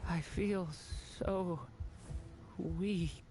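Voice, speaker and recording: Slow, frail young male. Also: sits high, steady tone, background noise. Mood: weak, confused. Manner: halting, faint, pleading. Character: frail, confused young male created being. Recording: loud background music.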